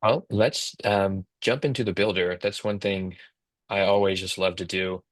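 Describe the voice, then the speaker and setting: A man in his thirties talks through steps in a casual, instructional way. His voice sits low and moves little in pitch. The sound is dry, as from a close mic on a video call. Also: fairly even intonation, moderate pace, no room sound. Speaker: co-host, app company team member. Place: indoors on a video call, virtual background.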